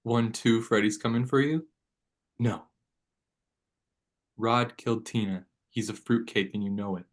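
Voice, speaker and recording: A man's voice: on the low side, steady tone, unhurried, long pauses, lightly acted. A man playing a laid-back, blunt but loyal teenage boy in a table read of a horror script. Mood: skeptical, teasing.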